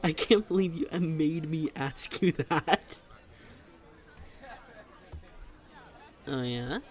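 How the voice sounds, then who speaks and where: A man playing a smitten young best friend in a roleplay audio, talking to the listener in a halting, playful, nervous way. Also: a little high, close to an everyday voice. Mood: giddy, teasing. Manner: halting, giggly, playful, addressing the listener directly. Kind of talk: scripted roleplay audio, intimate conversational acting. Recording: public place with crowd murmur.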